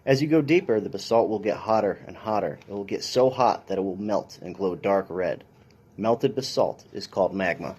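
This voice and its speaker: A man's voice: fairly low pitch, normal speed, a little mellow, slightly rough. A man, a police officer, reading a children's science picture book aloud in an instructional way with brief dry asides.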